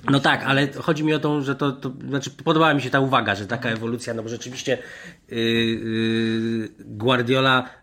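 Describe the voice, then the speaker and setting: A man's voice: medium pitch, fairly even intonation, fairly bright tone. A man discussing football as a panel pundit, unscripted and wordy, often breaking off and restarting his sentences. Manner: wordy, digressive, halting with repeated restarts.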